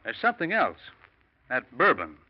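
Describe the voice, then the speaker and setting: An actor playing a plain, practical bush pilot in a 1940s radio drama. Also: male voice, medium pitch, wildly swooping pitch, moderate pace. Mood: steady. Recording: dull old radio recording.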